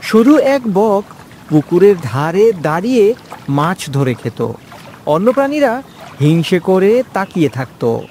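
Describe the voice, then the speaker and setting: A woman's voice: fairly low pitch, sing-song, unhurried, warm tone, slight background noise. A woman narrating a scripted children's story as the storyteller.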